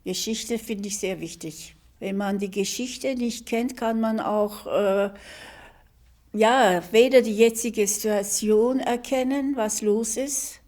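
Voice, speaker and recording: A woman in her seventies giving an interview answer, slow and halting with many pauses. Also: a little high, a little mellow. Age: 70s. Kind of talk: reflective interview clip.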